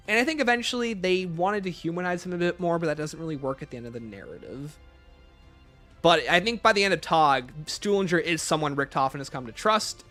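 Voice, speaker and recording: Animated, higher-pitched male livestreamer. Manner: reads questions aloud, then answers offhand. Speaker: livestream host. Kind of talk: casual livestream chat answering viewer questions.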